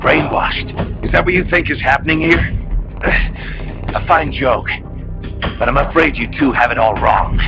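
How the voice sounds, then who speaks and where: A man's voice: on the high side, moderate pace, long pauses, lightly acted. An actor playing a bitter, defiant male Soviet soldier, speaking in impassioned speeches in a video game cutscene. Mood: bitter, scornful. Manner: impassioned, declamatory. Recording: dull lo-fi game capture. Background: loud music.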